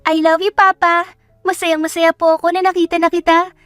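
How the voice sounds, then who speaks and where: A woman's voice: very high-pitched, little pitch movement, fairly quick, fairly bright tone, no echo, faint music behind, voice pushed up. A woman voicing a sweet, affectionate little daughter in an audio drama. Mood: happy, loving. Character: sweet, affectionate little girl who adores her father.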